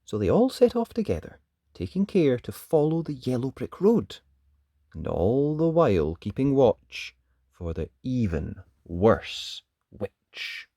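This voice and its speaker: An expressive storybook reader with a hugely varied, swooping delivery. The pace is unhurried and dramatic, and the sound is close and dry, made for young children. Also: medium pitch, dry recording. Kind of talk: animated children's read-aloud narration.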